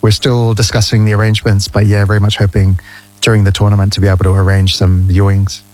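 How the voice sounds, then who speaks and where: A man answers interview questions in a radio studio. His voice is on the lower side and his delivery is measured and friendly. He explains things at length in full sentences. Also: little pitch movement, darkish tone, rough recording. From New Zealand. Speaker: ambassador guest.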